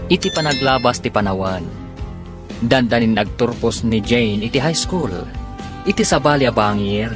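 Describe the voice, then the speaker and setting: A man narrating a serial radio drama in a dramatic scripted style. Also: medium pitch. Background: music.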